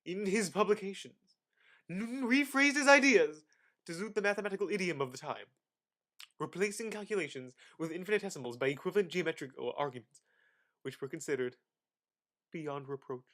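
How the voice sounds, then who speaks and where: Bright, high-pitched young man reading theatrically. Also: lively intonation, fairly quick. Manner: theatrical, exaggerated emphasis with sudden loud and hushed phrases. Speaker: student reader. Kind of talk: dramatic reading of an encyclopedia article.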